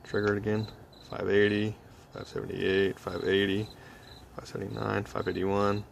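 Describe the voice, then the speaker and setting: A young man giving a casual project walkthrough in a low-key, hesitant way, thinking aloud as he goes. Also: monotone, moderate pace, creaky, slight background noise. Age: young adult. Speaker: hobby electronics vlogger. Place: small cluttered home workbench indoors. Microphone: handheld phone camera.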